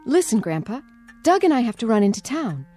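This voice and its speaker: A caring adult granddaughter in a radio drama. Her voice is a touch high and very expressive, gentle and coaxing, with faint music behind it. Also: fairly quick. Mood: concerned, coaxing.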